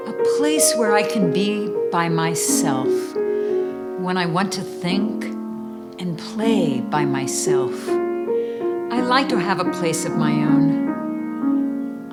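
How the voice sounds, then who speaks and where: A woman hosting and reading children's poems aloud, with deliberate, emphatic phrasing made for young listeners. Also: lowish voice. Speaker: host and poetry reader. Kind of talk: expressive read-aloud of children's poetry.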